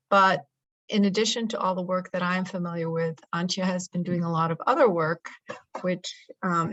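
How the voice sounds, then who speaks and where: Woman, host, low voice, chatty, dry muffled sound. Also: a bit bright, no echo. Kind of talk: introducing a guest.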